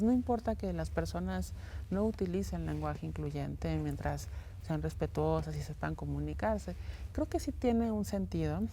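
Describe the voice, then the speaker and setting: A woman's voice: fairly low pitch, mellow, a little hiss or hum, rough recording. A middle-aged woman, an academic guest on a studio interview show, speaking thoughtfully with frequent fillers and tag questions. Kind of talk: conversational interview. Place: television studio.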